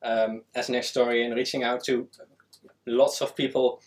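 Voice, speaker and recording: A man's voice: mid-range voice, flat delivery, normal speed. Earnest young man from the Netherlands. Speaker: historian guest. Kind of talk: panel answer. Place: small studio with a world map.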